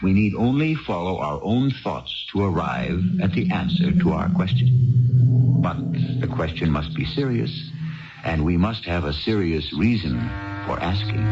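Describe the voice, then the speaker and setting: A man hosting a 1970s radio mystery show, delivering deliberate, philosophical scripted narration straight to the listener. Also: low-pitched. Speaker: radio drama host. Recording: muffled 1970s radio broadcast. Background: music.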